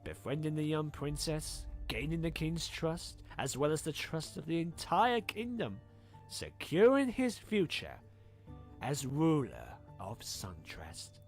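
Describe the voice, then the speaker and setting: A man's voice: a little high, sing-song, slow pace, soft background music, exaggerated voice. A man voicing a scheming, cruel villain pony in a cartoon, theatrical and taunting as he savors his words. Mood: smug menace. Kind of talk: animated fan drama villain acting.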